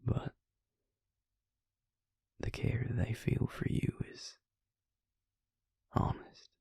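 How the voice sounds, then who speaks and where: A man playing a gentle, devoted vampire lover in an intimate roleplay audio, speaking tenderly and haltingly in a breathy, gravelly near-whisper. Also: no room sound. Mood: tender, reassuring. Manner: slow, tender, halting delivery.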